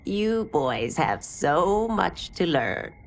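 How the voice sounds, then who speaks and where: A woman's voice: lowish voice, very animated, very slow, a little hiss or hum, heavily acted. A woman playing an older martial arts mentor in a video game, wry, knowing and firm. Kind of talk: video game cutscene acting.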